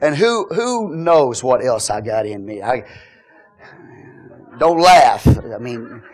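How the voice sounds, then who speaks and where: A middle-aged man preaching a sermon as pastor, telling jokes and swinging from quiet asides to loud exhortation. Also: mid-range voice, big pitch swings, slow pace.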